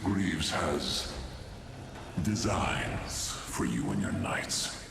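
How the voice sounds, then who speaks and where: A man's voice: a bass voice, big pitch swings, gravelly, full character voice. An actor playing a gloating, menacing enemy in a fantasy game, drawing out his ominous taunts. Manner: slow, drawn-out, ominous. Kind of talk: scripted fantasy game acting. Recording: echoing, noisy game ambience.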